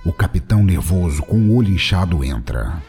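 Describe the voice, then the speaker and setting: A man with a low, deep voice narrates a scripted comic story. Music plays clearly behind him. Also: sing-song, a little fast.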